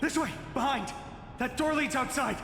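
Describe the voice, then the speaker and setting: A man's voice: very high voice, moderate pace. A man voicing an earnest young heroic warrior in a video game, urgent and commanding as he leads companions. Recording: echoey hall reverb over loud music.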